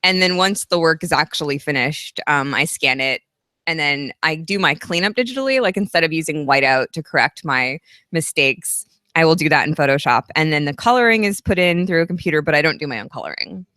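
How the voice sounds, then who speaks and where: A woman's voice: sits low, lively intonation, fairly quick, bright, forceful tone, no echo. A woman in her thirties, a guest author at a live event, giving long, chatty, earnest answers. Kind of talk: casual interview at a live event.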